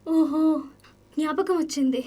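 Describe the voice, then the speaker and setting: Distant, high-pitched plaintive noblewoman. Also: unhurried, warm tone. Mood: weary, despairing. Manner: plaintive, theatrical. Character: wounded, weary noblewoman, gentle and despairing. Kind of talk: radio drama acting. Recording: distant from mic, noisy.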